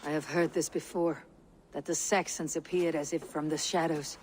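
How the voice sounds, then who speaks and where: Man acting a higher-voiced, terse Viking warrior. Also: level tone, normal speed, lightly acted. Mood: probing, serious. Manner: terse, probing. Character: steady, questioning Viking warrior, loyal ally. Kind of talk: dramatic game cutscene acting. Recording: noisy game audio with ambience.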